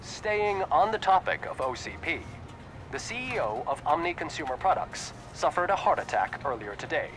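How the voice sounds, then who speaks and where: A man playing a polished television news anchor in a video game, reading in a crisp broadcast manner. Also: on the high side, sing-song, normal speed. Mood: newsy, measured. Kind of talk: scripted newsreading in a video game.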